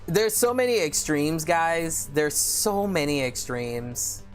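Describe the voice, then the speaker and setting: A woman with a deep voice, chatting candidly on a live stream and reading viewer comments aloud, with lots of "you know" fillers. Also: sing-song, takes it slowly, faint music behind.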